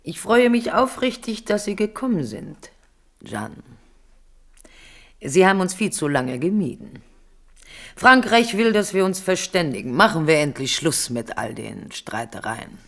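A woman's voice: lowish voice, very animated, unhurried, fairly bright tone, heavily acted. A cool, scheming queen mother. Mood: persuasive. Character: scheming queen mother of France, calculating and commanding. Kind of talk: 1970s radio drama acting.

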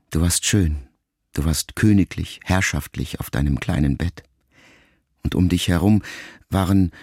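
A man reading a literary letter aloud as an audiobook narrator, in a slow, solemn and reflective way with long pauses. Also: rumbling low, a little mellow, husky, no echo, studio quality.

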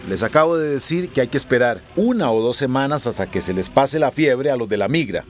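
An actor playing a wary, streetwise people smuggler in a radio drama, slangy and blunt. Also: male voice, medium pitch, animated, brisk, lightly acted. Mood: irritated.

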